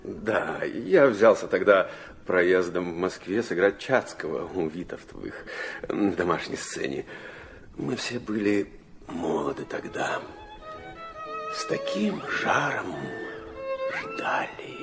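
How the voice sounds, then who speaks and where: An urbane middle-aged nobleman in a radio play. His low voice takes its time and swoops through a very wide range, theatrical and indulgent, with nostalgia underneath. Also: wildly swooping pitch, takes it slowly, soft background music, heavily acted. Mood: wry, nostalgic. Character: suave, nostalgic middle-aged aristocratic father. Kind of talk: Russian radio drama acting.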